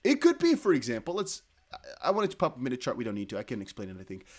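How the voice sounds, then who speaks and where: A young man with a Dutch accent, hosting a crypto livestream in casual chat, reading viewer comments aloud. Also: medium pitch. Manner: chatty, animated, reads viewer comments aloud with quick laughs.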